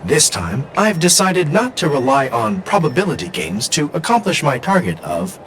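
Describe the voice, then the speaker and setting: A man's voice: on the high side, wildly swooping pitch, normal speed, a bit bright, slight background noise, exaggerated voice. An actor voicing a literal-minded male robot who talks in stiff, mechanical phrases. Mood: deadpan. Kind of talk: game voice acting.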